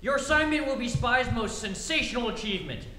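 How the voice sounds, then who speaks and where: An actor playing a pompous, scheming magazine publisher in a stage re-enactment of an old radio comedy, declaiming grandly. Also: male voice, very high voice, animated, a little fast, sharp tone, full character voice. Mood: grandiose. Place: theatre stage. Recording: echoey hall, faint noise.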